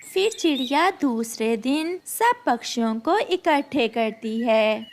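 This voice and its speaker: A woman tells a children's cartoon story in a high, bright register. She speaks slowly and clearly. A faint hiss sits under her voice. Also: animated. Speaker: narrator.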